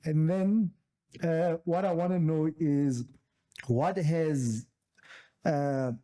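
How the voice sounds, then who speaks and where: Man, slightly high, mellow tone, interviewer, frequent affirmations. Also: fairly even intonation, very slow. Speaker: host interviewer. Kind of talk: casual interview chat.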